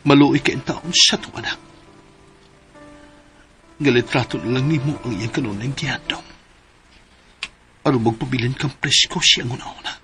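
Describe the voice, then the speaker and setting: An actor playing a grieving, tender husband, sentimental and pleading, in a Philippine radio drama, with a Cebuano Filipino accent. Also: male voice, medium pitch, normal speed, long pauses, pushed and bright, soft background music. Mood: sorrowful longing.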